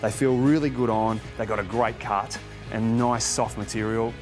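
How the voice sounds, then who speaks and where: A man's voice: mid-range voice, fairly even intonation, normal speed. A man presenting to camera, enthusiastic and conversational. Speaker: motorcycle magazine presenter. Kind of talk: talking to camera. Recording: music playing under the voice.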